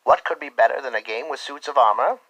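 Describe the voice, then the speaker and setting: A man's voice: medium pitch, fast talker, fairly bright tone, no room sound, muffled recording. A man giving scripted, theatrical storytelling narration and instructions for a children's game.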